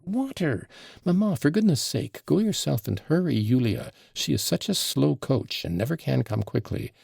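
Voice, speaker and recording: A man reading aloud and voicing a capricious, imperious teenage girl who is sharp, bossy and mocking. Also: mid-range voice, vocal fry, husky, no echo, studio quality, pitched above the performer's own voice, heavily acted. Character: capricious, imperious invalid girl in her teens.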